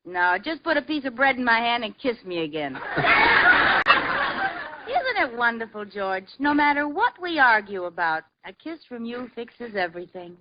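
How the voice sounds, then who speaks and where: A teasing witty young wife. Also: female voice, a little high, sing-song, crowd noise. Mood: affectionate. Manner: teasing, bubbly, quick comic timing. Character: witty playful young housewife. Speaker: wife in a radio sitcom. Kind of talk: 1940s radio comedy acting. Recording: dull hissy old radio broadcast.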